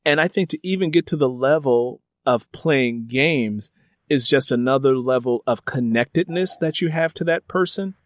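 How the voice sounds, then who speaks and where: Man interviewing in halting, reflective phrases. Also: medium pitch, no echo, clean studio sound. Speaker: interviewer, host. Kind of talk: conversational interview, thoughtful questions.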